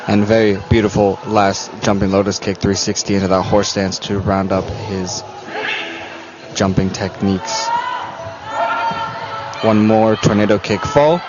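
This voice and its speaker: Low-voiced male sports commentator, muffled sound. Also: flat delivery, unhurried, long pauses. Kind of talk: live martial arts competition commentary. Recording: muffled broadcast audio, music and crowd behind. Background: venue music and crowd noise.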